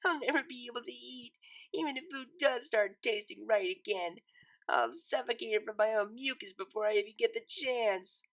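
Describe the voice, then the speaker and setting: A woman's voice: sits high, fairly bright tone, pitched above the performer's own voice, full character voice, rough recording. A woman voicing a melodramatic, sulky female pony sick with a cold, in a nasal, complaining voice for a story reading. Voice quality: nasal, stuffed-up. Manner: complaining, overdramatic.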